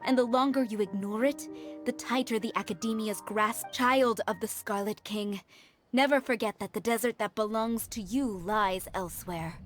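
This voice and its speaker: A woman's voice: mid-range voice, soft background music, close to an everyday voice. Woman as composed, solemn cult devotee. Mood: ominous. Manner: calm, solemn, measured. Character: composed, persuasive female devotee of a desert king. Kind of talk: anime game voice acting.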